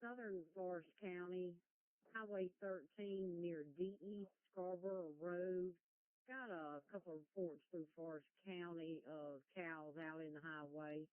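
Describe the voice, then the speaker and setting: A woman's voice: lowish voice, steady tone, a little slow, smooth, no echo. A woman giving routine emergency dispatch calls over a two-way radio. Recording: phone-like, dry scanner radio.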